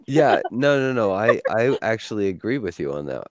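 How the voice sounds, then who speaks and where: Deep-voiced rambling man in a dry room. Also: big pitch swings, moderate pace, no room sound. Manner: rambling, restarts often, laughs easily. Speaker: podcast host. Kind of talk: casual podcast chat.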